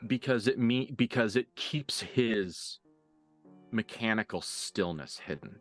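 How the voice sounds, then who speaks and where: Low-voiced man narrating a tabletop game. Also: animated, slow, dry recording. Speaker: game master. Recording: dry voice over background music.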